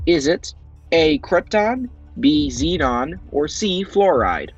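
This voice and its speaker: A man hosting a quiz show, reading questions with drawn-out pauses for suspense. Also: on the high side, very animated, breathy edge, dull audio, soft background music. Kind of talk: hosting a voiced game show on a video game platform.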